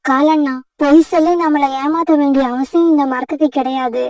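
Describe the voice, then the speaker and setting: A woman voicing a polite, sensible female sparrow who speaks as a gentle peacemaker in a children's cartoon. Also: high-pitched, fairly even intonation, normal speed, no room sound, distant mic. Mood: calming. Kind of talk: cartoon voice acting.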